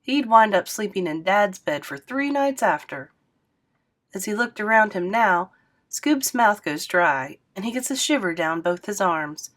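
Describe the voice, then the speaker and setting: Woman, read-aloud narrator, expressive emphasis, steady storytelling. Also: medium pitch, unhurried. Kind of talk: reading a novel aloud.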